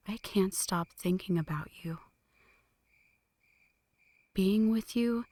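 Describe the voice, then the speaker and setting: A woman playing an ancient, lovestruck vampire in a slow, breathy whispered ASMR roleplay, intimate and solemn, close to the microphone. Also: medium pitch, dark tone, no room sound. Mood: tender, yearning. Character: ancient flirty female vampire, brooding, lovestruck, world-weary. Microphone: close microphone, dry.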